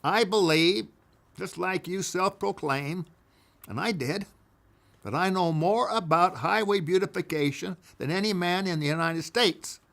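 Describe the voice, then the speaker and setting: An older man telling a personal story from his past, emphatic, swinging between loud declarations and hushed asides. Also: sits high, measured pace, creaky.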